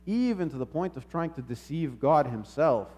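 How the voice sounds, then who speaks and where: A man with a slightly high voice delivers a sermon. He speaks in a measured, reflective way, teaching through rhetorical questions and repeated phrases. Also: lively intonation, a little mellow. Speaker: preacher.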